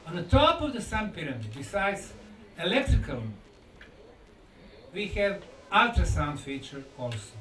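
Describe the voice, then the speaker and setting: A high-voiced man lectures with a very lively, swooping pitch. He speaks in deliberate short phrases and pauses for effect, heard from a distance in an echoing room. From Bosnia. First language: Bosnian. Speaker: lecturer and researcher. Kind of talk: conference lecture. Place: indoor lecture room at a conference. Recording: echoey room, distant mic, faint noise.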